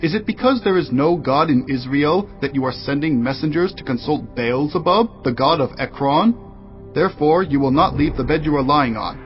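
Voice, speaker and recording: A man's voice: fairly high pitch, expressive, normal speed, natural voice. An actor playing a messenger who reports urgently and earnestly to the king. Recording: muffled audio under music.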